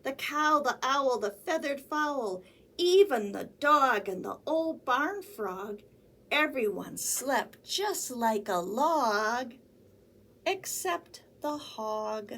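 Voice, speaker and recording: Animated woman reading a storybook, lively pitch. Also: slow. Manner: theatrical, sing-song emphasis with acted animal sounds and sneezes. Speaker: children's librarian reading storytime. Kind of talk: animated children's storybook read-aloud.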